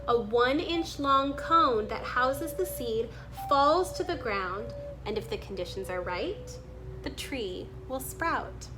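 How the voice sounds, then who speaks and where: A woman reading a children's book aloud, stopping to add her own offhand remarks about the pictures. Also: a little high. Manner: pauses to remark on the illustrations. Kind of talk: read-aloud with spontaneous comments on pictures.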